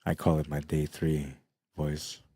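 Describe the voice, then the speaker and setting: A middle-aged man commentating a pool match in a hushed, unhurried voice with a very deep bass, tired late in the day. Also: flat delivery, husky, no room sound. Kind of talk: quiet live sports commentary. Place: pool hall during a tournament.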